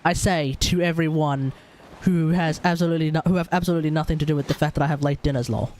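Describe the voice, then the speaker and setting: A man's voice: on the high side, steady tone, quick pace, darkish tone, slight background noise. An Australian man giving casual, rambling game commentary on a livestream, often talking to himself.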